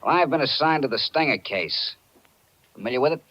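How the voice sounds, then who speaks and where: Hard-nosed American police detective. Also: male voice, medium pitch, lively intonation, bright, forceful tone. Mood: businesslike. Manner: brisk, accusing, hard-nosed. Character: blunt, suspicious male police detective. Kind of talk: 1940s radio acting. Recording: muffled 1940s radio recording.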